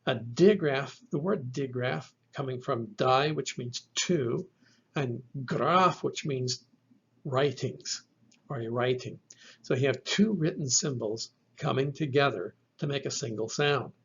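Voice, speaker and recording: A man's voice: medium pitch, measured pace, vocal fry, fairly bright tone, husky, highs cut off. A man giving a recorded lecture on Greek pronunciation, methodically repeating each sound for the listener. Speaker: Greek language teacher.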